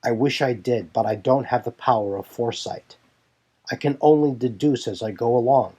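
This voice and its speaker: A man voicing a measured, authoritative young military leader in an audio drama. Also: medium pitch, mellow, breathy edge, lightly acted. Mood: measured, weary. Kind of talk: audio drama character acting. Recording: music bed behind the voice.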